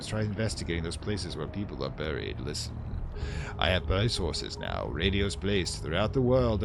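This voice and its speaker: A man's voice: fairly low pitch, background noise. Man putting on a melodramatic, sinister radio fanatic. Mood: trembling excitement. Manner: melodramatic, halting, breathlessly excited. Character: obsessed theatrical radio-drama fan, a sinister presenter. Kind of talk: dramatic reading of a villain's letter.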